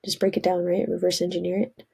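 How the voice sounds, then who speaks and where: A woman's voice: fairly low pitch, monotone, quick pace, vocal fry, dark tone, slightly rough. A woman, congested from a cold, rambling earnestly in a casual spiritual vlog monologue. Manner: rambling, earnest, self-correcting. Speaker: vlogger.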